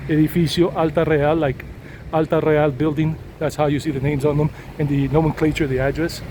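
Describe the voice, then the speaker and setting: A man's voice: on the high side, level tone, fairly quick, mellow, poor audio. A middle-aged man, chatting casually as he walks a city street and narrates a tour. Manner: chatty and rambling, switching into Spanish with locals. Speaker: walking tour vlogger. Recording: outdoor street noise. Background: traffic and street noise.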